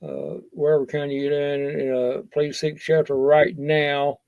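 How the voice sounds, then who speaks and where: A man's voice: on the high side, flat delivery, measured pace, creaky, clear, resonant tone, no room sound. A man giving a casual, rambling safety talk in a folksy, earnest and blunt manner. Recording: dull, lo-fi sound.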